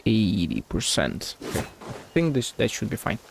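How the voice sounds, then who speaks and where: A man's voice: on the low side, wildly swooping pitch, normal speed, creaky, music in the background. A man giving casual live game commentary, rambling and thinking aloud as he plays. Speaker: game streamer.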